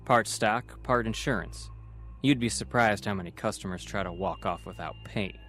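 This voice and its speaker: A man's voice: fairly low pitch, normal speed, natural voice. A man playing a shrewd, plain-spoken navy officer in a comic dub, conversational and wry. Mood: cocky. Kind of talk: scripted comic dub acting. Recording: loud music behind the voice.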